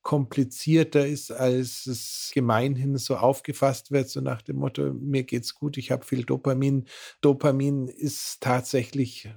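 A man's voice: mid-range voice, little pitch movement, a little slow, vocal fry, a little mellow. A man explaining science on a podcast in long, winding sentences full of fillers. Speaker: biohacking expert guest.

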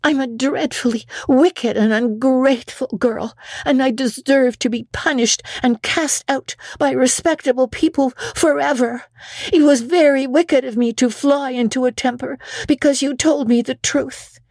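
High-pitched, dramatic young orphan girl. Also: fairly even intonation, a little slow, a little mellow, dry recording, studio quality. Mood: pleading, remorseful. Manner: theatrical, effusive, earnest pleading. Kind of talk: dramatic audiobook reading.

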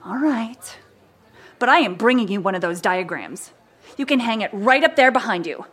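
Chipper female colleague, higher voice, teasing, friendly, audio drama. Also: animated, moderate pace, fairly bright tone, breathy edge. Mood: playful.

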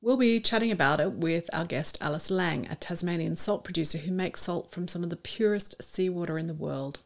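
A woman's voice: fairly low pitch, brisk. A woman hosting a podcast, presenting and asking questions in a conversational style. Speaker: podcast host.

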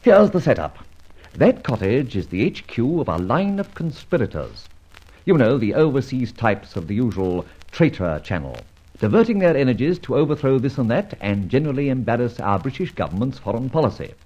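An actor playing a suave, teasing gentleman adventurer in an old radio serial, with urbane, theatrical diction. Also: medium pitch, sing-song, brisk, slightly rough, muffled recording. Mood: brisk, conspiratorial. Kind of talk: old radio serial acting, with first-person narration.

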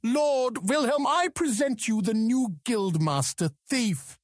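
Male courtier, high swooping voice, formal, proud, courteous, fantasy audio drama, dry recording. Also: lively intonation, slow pace, no echo, heavily acted.

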